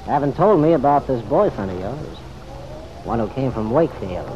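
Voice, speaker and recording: Wry radio cop, played by an actor, lively intonation, noisy recording. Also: male voice, mid-range voice, muffled recording, close to an everyday voice. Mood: needling. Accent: American. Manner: slangy, unhurried, needling. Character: wry, persistent, easygoing male police detective. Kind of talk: old-time radio drama acting.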